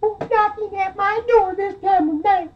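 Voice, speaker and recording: Tiny squeaky storybook pig, high voice, cheeky and sing-song, kinda defiant. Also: male voice, steady tone, a little slow, muffled recording, a little hiss or hum. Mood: scared, defiant. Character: cheeky, squeaky little male piggy, small and clever. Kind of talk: storybook character voice.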